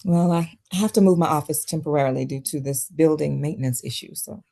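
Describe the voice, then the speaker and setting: A woman's voice: sits low, brisk, creaky, warm tone. A woman playing a stressed, wary, sardonic doctor in a naturalistic table read of a drama script. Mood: curt, irritated.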